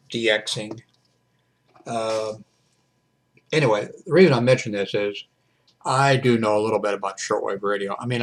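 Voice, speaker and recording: Man, 70s, lowish pitch. Hesitant, off-the-cuff hobbyist vlogger with a stop-and-start delivery. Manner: halting, hesitant delivery with mid-sentence pauses. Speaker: radio hobbyist reviewing a portable shortwave radio. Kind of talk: casual unscripted product review vlog. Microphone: webcam microphone.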